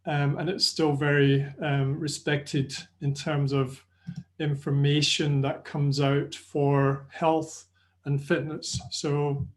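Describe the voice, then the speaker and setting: A middle-aged Scottish man with a slightly higher voice talks through a webinar from a small indoor room. He speaks on a video call mic in a friendly, chatty way, full of ums and quick restarts. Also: little pitch movement. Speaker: webinar presenter. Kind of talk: live online lecture. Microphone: video call webcam.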